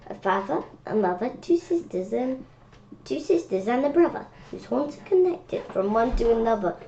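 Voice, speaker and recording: A woman's voice: a little high, expressive, moderate pace, vocal fry, dark tone, dull audio, slight background noise. A woman reading a rhyming picture book aloud informally, often stumbling over words and repeating phrases. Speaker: children's book read-aloud reader.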